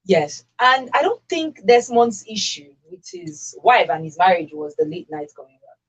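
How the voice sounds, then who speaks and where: A woman's voice: medium pitch, big pitch swings, moderate pace, husky, rough recording. A Nigerian woman hosting a radio talk discussion, speaking emphatically and with strong opinions, repeating phrases to drive her points home. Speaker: radio show host.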